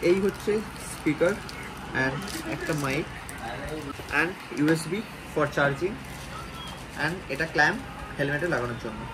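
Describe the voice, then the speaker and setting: A man with a Bengali accent talking casually to viewers as a vlogger, mixing in English words. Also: a little high. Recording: clear vehicle and ambient noise.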